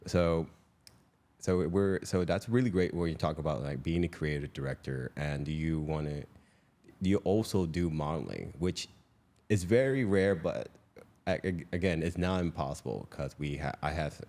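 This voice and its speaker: A man's voice: extremely low pitch, normal speed, a little mellow. A young man from Malaysia hosting a casual interview podcast, rambling and restarting his sentences as he chats. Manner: rambling, restarts often, laughs easily. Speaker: podcast host.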